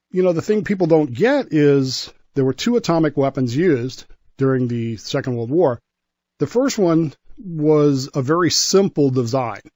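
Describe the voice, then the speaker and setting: A man's voice: fairly high pitch, a little mellow, dry recording. A man explaining history in an emphatic, conversational way, as a guest expert on a radio show. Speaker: former nuclear submarine serviceman.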